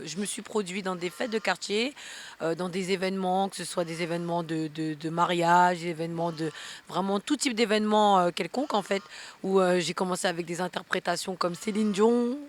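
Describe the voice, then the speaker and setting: A woman's voice: fairly low pitch, moderate pace. A young woman, a singer answering interview questions casually and repeating phrases for emphasis. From France.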